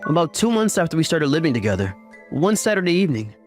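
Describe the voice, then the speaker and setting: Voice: medium pitch, sing-song, fast talker, natural voice. A performer voicing a caring, teasing young uncle in a romantic comedy manga story, mixing narration with dialogue in a mild manner. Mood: matter-of-fact narrating. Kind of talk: anime-style recap narration and dialogue. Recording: music under the voice. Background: background music.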